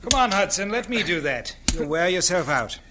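A man's voice: sits high, big pitch swings, moderate pace, noisy background. An actor playing a helpful, hearty missionary man in an audio drama. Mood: hearty, concerned.

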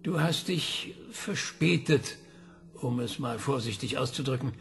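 An actor playing a calm male scientist who questions a ship computer with patient, probing questions. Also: mid-range voice, a little slow, gravelly.

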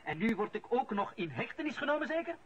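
An actor playing an indignant, self-righteous civil servant who feels wrongly accused, in a radio play. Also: male voice, very high-pitched, sing-song, fast talker. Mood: indignant, defensive. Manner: agitated, self-righteous, protesting. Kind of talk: Dutch-language radio drama acting. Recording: muffled, noisy old radio recording.

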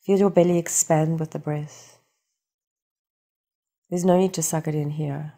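Woman meditation guide, very low pitch, gravelly creak, calm and soothing, unhurried instruction.

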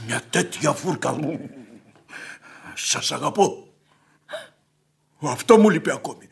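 A man's voice: fairly high pitch, animated, creaky. An actor playing a hot-headed, blustering landowner in a radio play, stammering and impassioned as he falls for a woman. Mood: angry, lovestruck. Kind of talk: radio theatre acting.

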